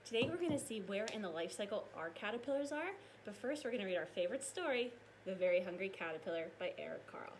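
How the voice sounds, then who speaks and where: A woman teacher reading aloud and explaining science to young children in an expressive, explanatory way. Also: mid-range voice, quick pace, few pauses, noisy background.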